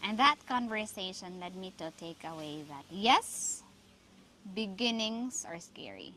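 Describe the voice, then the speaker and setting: Animated Filipino woman host, noisy background. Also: mid-range voice, a little slow. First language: Cebuano. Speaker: podcast host. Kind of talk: reflective, motivational talk to listeners.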